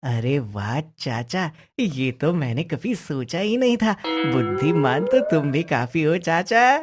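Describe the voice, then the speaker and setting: A woman's voice: sits low, big pitch swings, slow pace, over loud music, exaggerated voice. A woman voicing a clever, cheeky village orphan boy in a cartoon story. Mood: frank, impressed. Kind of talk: Hindi cartoon story acting.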